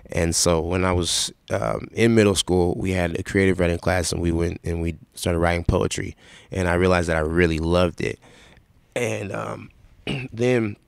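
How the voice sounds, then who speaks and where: A young man from Seattle with a raspy voice, answering interview questions in a relaxed, rambling way with lots of fillers. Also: low voice, no echo. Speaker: rapper guest.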